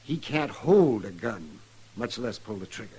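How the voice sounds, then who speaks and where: Grave Old West physician, rough husky tone, very expressive, vintage radio drama style. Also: male voice, fairly low pitch, measured pace, heavily acted. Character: candid, grave small-town frontier doctor. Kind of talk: 1950s radio western acting.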